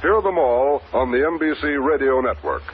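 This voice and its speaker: A man's voice: mid-range voice, moderate pace. An American man reading a polished, promotional announcement in 1950s network radio style. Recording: muffled, faintly noisy old radio recording.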